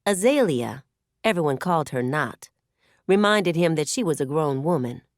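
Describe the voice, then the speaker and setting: A woman narrates literary fiction in an expressive storytelling style. Her voice sits slightly low and moves freely in pitch. The recording is very dry, like a studio audiobook. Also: moderate pace, no echo. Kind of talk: scripted novel narration.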